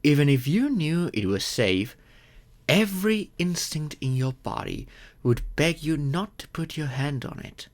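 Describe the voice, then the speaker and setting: A man playing a courteous, gentle, wealthy old vampire in an audio roleplay, speaking reassuringly and earnestly to the listener. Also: on the high side, expressive. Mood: patient, explaining. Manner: gentle, reassuring, unhurried with thoughtful pauses.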